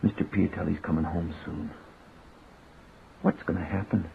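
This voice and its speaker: A brooding, protective Canadian cab driver in a radio drama. His voice sits very low, plain-spoken and tightly controlled. The recording is thin and band-limited, like an old radio tape. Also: steady tone, normal speed, vocal fry, phone-quality sound, slight background noise. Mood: seething. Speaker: lead actor and narrator. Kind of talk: naturalistic radio drama with first-person narration.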